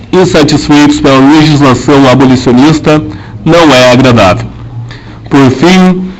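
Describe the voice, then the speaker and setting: A man narrating an amateur historical radio drama, telling it in a measured and solemn way. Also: medium pitch, rough recording.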